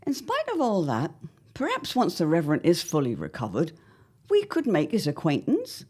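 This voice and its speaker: A woman acting an anxious, fanciful farm wife of the early 1900s in an audio drama, with formal, dramatic diction and a husky voice. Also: fairly low pitch, wildly swooping pitch, moderate pace, darkish tone. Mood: curious.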